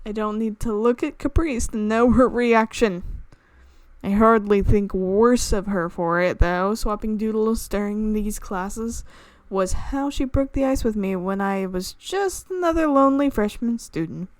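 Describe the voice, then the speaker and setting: A woman's voice: medium pitch, a little slow, dry recording. A woman game streamer reading a visual novel aloud, playfully stumbling over words and breaking into casual asides. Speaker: gaming channel host.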